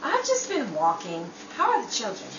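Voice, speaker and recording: Woman acting a high-pitched, sarcastic jealous 1930s wife. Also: huge pitch swings, moderate pace, fairly bright tone, lo-fi sound. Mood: hurt, downcast. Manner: sarcastic, theatrical. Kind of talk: old-time radio comedy-drama acting. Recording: roomy, distant, faint background noise.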